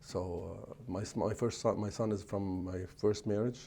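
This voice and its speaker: A middle-aged man, an executive chef answering interview questions in a halting way, often restarting as he searches for words. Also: fairly low pitch, fairly even intonation, mellow. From Lebanon.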